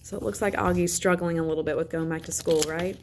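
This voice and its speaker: A woman's voice: lowish voice, fairly even intonation, fast, a little hiss or hum. A woman teacher reading a children's novel aloud as a narrator. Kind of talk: storybook read-aloud narration.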